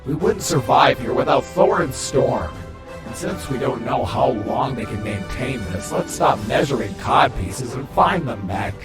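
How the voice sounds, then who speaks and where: An actor playing a serious, practical armored hero in a comic dub, speaking in a measured, matter-of-fact way. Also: male voice, medium pitch, animated. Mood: grave, practical. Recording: echoey, with music behind.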